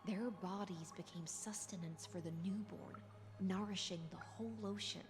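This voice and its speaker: A languid, menacing sea noblewoman. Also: on the low side. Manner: teasing and coolly philosophical. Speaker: game character. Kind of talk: video game voice acting.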